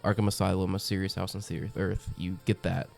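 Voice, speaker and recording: A young man with a cold, his voice very low, gravelly and stuffed up as he hosts a comic review channel. He talks in a chatty, enthusiastic way, full of ums and uhs, his tone dark and mellow, with faint music underneath. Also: flat delivery, brisk. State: congested from a cold. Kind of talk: casual review monologue.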